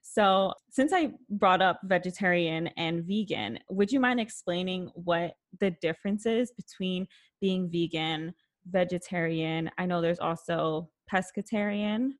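A woman's voice: on the low side, a little slow. A woman hosting a casual interview, friendly and chatty, with frequent fillers. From Puerto Rican family background. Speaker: interview host.

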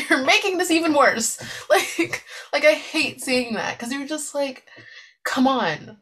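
A woman's voice: high-pitched, slow. Chatty young woman on a podcast. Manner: chatty, animated, laughs often. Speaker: podcast co-host. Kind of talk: casual podcast discussion.

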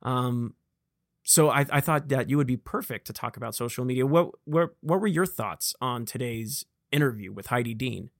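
A man's voice: medium pitch, expressive, moderate pace, creaky, no echo, studio quality. A young man from California with a Californian accent, chatting casually as a podcast host. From Vacaville, California. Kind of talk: casual conversational interviewing.

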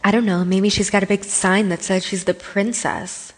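A woman's voice: medium pitch, fairly even intonation, moderate pace, breathy edge. A woman playing a hot-tempered, sarcastic teenage girl guardian in an anime fan dub, blunt and scolding. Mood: sceptical. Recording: reverberant, faint noise and ambience.

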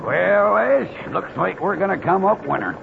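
Radio western heavy: crooked wagon boss, very creaky, surly Western American voice, muffled vintage sound. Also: male voice, sits high, very animated, unhurried, exaggerated voice. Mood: scheming. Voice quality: gravelly. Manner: gruff, impatient, threatening. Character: surly, cheating western villain, a hard-bitten wagon boss. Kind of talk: old-time radio western acting. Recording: noisy, muffled old radio recording.

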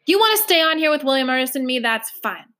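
A woman's voice: high voice, sing-song, quick pace, fairly bright tone, voice pushed up. A woman voicing a stubborn older foster mother who speaks in folksy country dialect, in a storybook reading. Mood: earnest. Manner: bellowing, folksy dialect, emotional.

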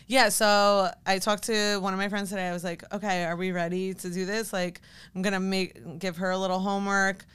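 Woman fitness coach on a podcast, super clean smooth voice, just chatting casually about her stuff. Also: medium pitch, little pitch movement, a little fast, a bit bright. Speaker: podcast guest, fitness coach and business owner. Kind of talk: casual interview chat.

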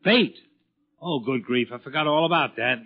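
A blustering exasperated dad, old radio. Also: male voice, medium pitch, normal speed, gravelly. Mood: busy, impatient. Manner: blustering, grumbling, sarcastic. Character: exasperated middle-aged father eager to go fishing. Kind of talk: 1940s radio comedy acting. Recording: dull lo-fi 1940s radio broadcast recording.

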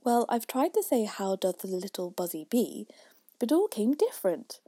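A woman plays a polite, easily flustered little girl in a storybook. The voice is soft and breathy, hesitant and pleading, in a close, dry recording. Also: medium pitch, animated, unhurried, no echo. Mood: melancholy, polite. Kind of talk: storybook reading.

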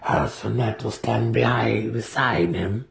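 A man voicing an imperious, shrewd female dark elf matron with a formal, commanding manner in a fantasy audiobook. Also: on the low side, measured pace, creaky. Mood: curious. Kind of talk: dramatic fantasy audiobook reading.

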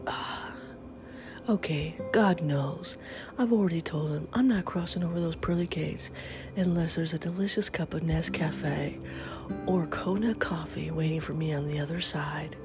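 A woman's voice: deep voice, moderate pace, over music. A middle-aged woman hosting a podcast in a casual, upbeat morning chat, often half-whispering, with a stuffy nose from a head cold. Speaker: podcast host.

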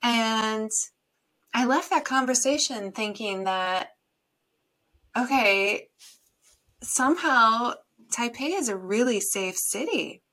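A woman's voice: a little high, animated, slow pace, pauses often, bright, forceful tone. Thoughtful woman podcast host. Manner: thoughtful, rambling with fillers. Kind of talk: conversational interview.

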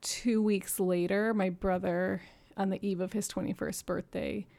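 Podcast guest, woman, 30s, gravelly voice, reflective storyteller who laughs often. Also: medium pitch, steady tone, measured pace, dark tone. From Japan and New York City. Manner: earnest, reflective, laughs often between thoughts. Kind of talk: candid personal storytelling.

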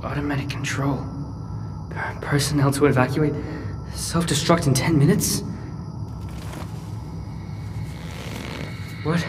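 A man playing a bewildered, frightened teenage boy in a sci-fi audio drama, stammering and breathy as he talks to himself. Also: fairly high pitch, fairly even intonation, noisy background, close to an everyday voice. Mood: confused, alarmed. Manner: halting, stammering, breathy gasps. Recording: loud music behind.

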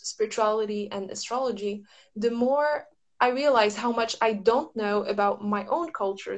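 A woman from Morocco talks casually and reflectively as an astrology practitioner, often pausing to search for the right words. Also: mid-range voice.

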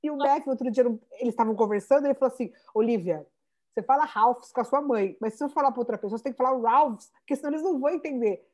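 A Brazilian-born woman in her thirties, telling stories with lots of restarts in a relaxed interview. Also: high-pitched, quick pace. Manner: animated storyteller, many restarts and "né". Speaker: founder of a Portuguese school for children. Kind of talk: conversational interview.

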